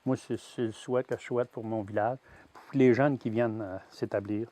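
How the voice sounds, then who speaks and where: A man in his sixties with a Québécois French accent, a farmer giving a plain personal testimony, pausing often with fillers and restarts. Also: fairly low pitch, fairly even intonation, mellow. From Quebec. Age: 60s. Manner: hesitant, many "euh" fillers and restarts. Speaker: grain-fed veal farmer.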